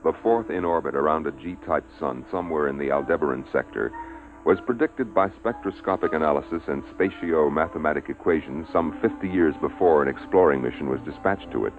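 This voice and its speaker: An American man narrating a 1950s radio drama in a formal, official manner. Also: extremely low pitch. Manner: formal, official log-like delivery. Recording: muffled 1950s radio recording with music. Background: music.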